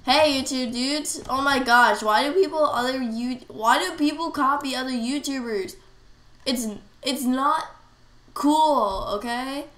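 A woman's voice: high-pitched, measured pace, fairly bright tone. A teenage girl chatting casually while playing a game, rambling with lots of fillers. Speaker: gameplay commentator. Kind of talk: casual gameplay chat. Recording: echoey room, faint hiss. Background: keyboard clicks and faint noise.

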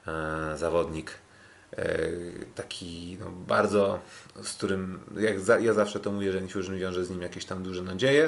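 Halting low-voiced man recapping fights. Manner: halting, often repeats and restarts words. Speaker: podcast host. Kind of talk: casual sports recap commentary.

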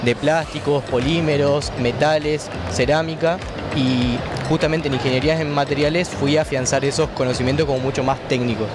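A man's voice: on the high side, steady tone, fairly quick. A young Argentine man in his twenties, a student guest talking informally in an interview on a handheld mic. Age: 20s. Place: large indoor fair hall. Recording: background music at busy fair.

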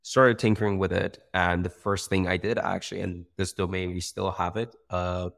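A young man speaks as a guest over a video call. His voice sits very low with a gravelly fry, and he talks in an animated, loose way, full of fillers and self-corrections. He sounds like a startup founder telling his story. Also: moderate pace. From Iran. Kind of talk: casual interview. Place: bright room by a window.

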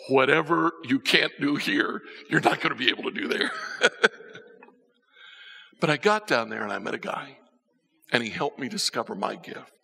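Older pastor with a gravelly, lively voice. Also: male voice, medium pitch, normal speed, long pauses. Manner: storytelling, swings between soft and emphatic. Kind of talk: sermon. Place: church stage with band instruments. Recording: church hall reverb.

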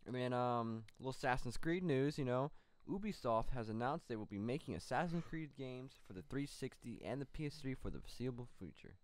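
A man's voice: medium pitch, expressive, brisk, few pauses, no room sound. A young man co-hosting a casual gaming news show from a small home studio, rambling through the stories with plenty of fillers. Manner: rambling, loose delivery with many fillers. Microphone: studio mic on a boom arm.

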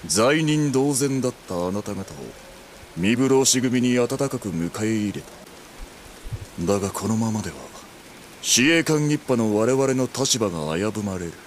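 Rebel samurai male actor: mid-pitched, swooping intonation, coldly polite menace, for a dramatic game cutscene. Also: animated, moderate pace, a little hiss or hum, lightly acted. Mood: coldly menacing.

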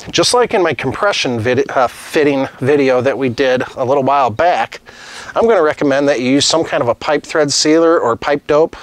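A middle-aged master plumber giving a casual, practical tutorial on a lapel mic while he works with tools at a desk. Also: medium pitch. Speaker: master plumber teaching on his channel. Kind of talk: hands-on tutorial demonstration. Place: indoor studio set at a desk.

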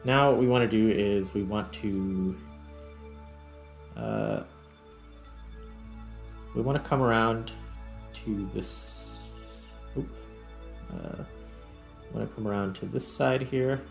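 A man's voice: fairly low pitch, takes it slowly, gravelly, pauses often. A man hosting a gaming video, thinking aloud in casual commentary as he plays. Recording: music behind the voice. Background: background music.

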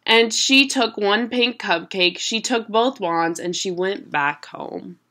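A young adult woman reading a children's picture book aloud at home in an expressive, deliberate storytelling manner. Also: medium pitch. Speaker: storybook reader. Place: small room at home.

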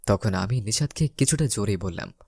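A man's voice: on the low side, expressive, a little fast, gravelly, darkish tone, no room sound. A young man narrating a romantic comedy story in the first person, theatrically acting out the lines. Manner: theatrical, acts out his lines.

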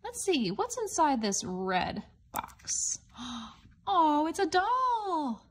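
A woman reading a picture book aloud to young children, explaining it simply in an expressive, questioning, child-directed manner. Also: sits high. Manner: expressive, questioning, with gasps and hushed asides; deliberate, child-directed phrasing. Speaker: storybook reader for young children.

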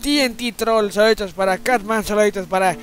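A man's voice: a little fast, fairly bright tone, soft background music. A man voicing a foul-mouthed, cranky old grandfather who grumbles and swears while playing a video game. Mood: rattling off. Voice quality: high, strained old-man voice. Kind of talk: comedic gameplay commentary.

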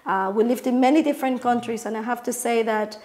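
A woman's voice: a little high, steady tone, fast talker, darkish tone. Middle-aged Colombian woman explaining her business. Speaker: real estate agent, family business owner. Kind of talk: promotional company talk to camera. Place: living room, on a sofa indoors.

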